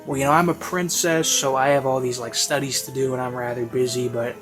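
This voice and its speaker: A man giving a casual, rambling spoken review in a hesitant, halting way, as if thinking aloud. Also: mid-range voice, fairly even intonation. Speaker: reviewer of audio series. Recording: classical music playing behind. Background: classical music.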